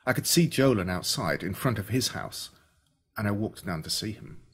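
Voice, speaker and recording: An Australian man reading a scripted first-person story in an expressive, dramatic storytelling manner. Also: lowish voice, normal speed, breathy edge. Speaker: audiobook narrator.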